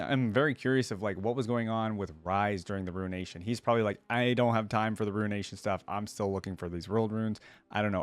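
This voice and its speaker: A man's voice: lowish voice, no room sound. A man giving casual, chatty, slangy reaction commentary as a video host.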